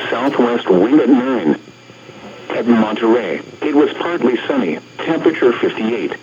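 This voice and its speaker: Automated male voice, American accent, mid pitch, scripted weather bulletin, impersonal segmented delivery, dull radio sound. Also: a little mellow. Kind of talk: scripted weather report reading. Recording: muffled, noisy weather radio broadcast.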